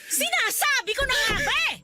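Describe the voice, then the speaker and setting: A very high-pitched, furious mother of a grown son. She scolds shrilly and hurls accusations in radio melodrama style, with loud music underneath. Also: expressive, fairly quick. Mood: furious, accusing. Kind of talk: melodramatic radio drama acting.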